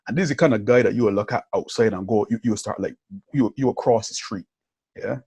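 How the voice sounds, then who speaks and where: A man hosting a podcast, telling stories in an animated, chatty way and constantly checking in with his listener. His voice sits low and has a husky grain. The sound is dry and close, with no room around it. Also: fast, no room sound. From Barbados. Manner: animated storytelling, keeps checking in with "yeah?" and "right?". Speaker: podcast host. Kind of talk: casual conversation.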